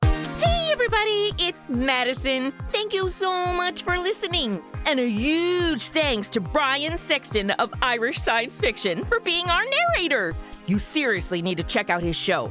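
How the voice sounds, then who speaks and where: A woman's voice: high-pitched, very animated, unhurried, a bit bright, faint music behind. A woman speaking as a show host in a scripted, peppy influencer style.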